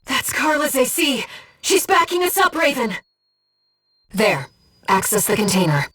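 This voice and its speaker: A woman voicing a gentle, earnest disembodied being in a video game, guiding a pilot with composed, precise, softly formal delivery. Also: high voice, big pitch swings, a little slow, breathy edge, far from the mic, heavily acted. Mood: urgent, then calm, informative. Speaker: mission support operator. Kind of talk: scripted video game voice acting.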